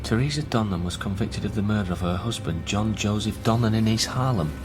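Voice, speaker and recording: A man's voice: lowish voice, a little fast, music in the background, lightly acted. An actor playing an earnest man researching his family history in a naturalistic radio drama. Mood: shocked, sombre.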